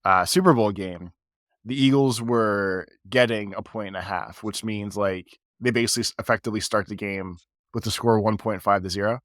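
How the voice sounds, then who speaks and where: Male podcast co-host, low voice, stuffy with a cold, rambling and filler-heavy, dry close mic. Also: moderate pace, fairly bright tone, no echo. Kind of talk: casual chat.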